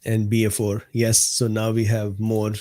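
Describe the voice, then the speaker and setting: A man with a mellow, slightly hoarse voice hosts a live stream from his desk mic. He reads viewer comments aloud in an unhurried, easygoing way. He laughs often and answers viewers by name. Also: lowish voice, monotone. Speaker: host of a live medical talk stream. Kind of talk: casual livestream chat reading viewer comments.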